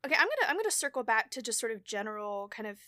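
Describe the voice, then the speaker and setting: Chatty, bright-voiced woman podcast host. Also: on the high side, very animated, very fast, no room sound, clean studio sound. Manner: chatty, laughs often, trails off and restarts. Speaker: podcast host and show creator. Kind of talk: casual podcast conversation.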